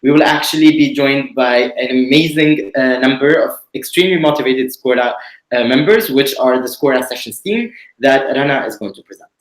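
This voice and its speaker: Man, from Tunisia, webinar host, measured and pausing, mid-pitched, echoey room sound. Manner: measured, explanatory, frequent pauses. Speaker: webinar host, committee director. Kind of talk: online presentation. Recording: roomy echo.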